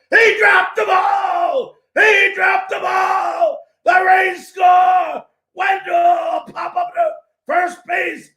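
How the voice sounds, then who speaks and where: A male sports talk show host who is losing his voice and hoarse, loud and opinionated, giving his views and calling play-by-play. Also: extremely high pitch, reverberant. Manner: loud and opinionated, often shouting.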